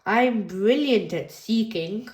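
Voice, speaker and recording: A bouncy little girl pig squeals her lines, high and loud with plenty of excitement, as a woman reading aloud puts her on. The voice rings in an echoey room. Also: takes it slowly. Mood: excited.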